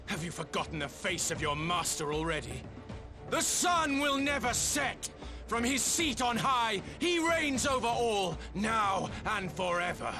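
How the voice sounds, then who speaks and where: An imperious, grandiose warrior lord from a video game. He has a high voice and declaims slowly with theatrical pomp and archaic phrasing, music clearly behind him. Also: animated. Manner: pompous, theatrical, archaic speech.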